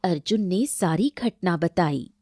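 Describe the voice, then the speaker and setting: A woman's voice: lowish voice, lively intonation, a little slow, warm tone, dry recording, studio quality. A woman narrating a scripted moral story in storybook style.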